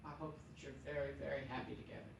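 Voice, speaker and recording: A man playing a gentle, courtly gentleman in an old-time radio play on stage. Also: medium pitch, little pitch movement, rapid-fire, creaky, natural voice. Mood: warm, genial. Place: theatre stage, live performance. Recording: very echoey stage recording, distant, noisy room.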